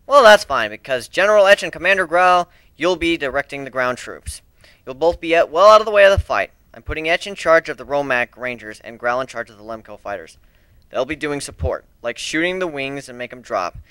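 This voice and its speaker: A bold griffin pirate captain, played by a man in a dramatic fantasy reading. The voice is fairly high and very animated, giving out boasts and orders with heavy emphasis. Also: a little fast, clear, resonant tone, dry recording. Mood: commanding.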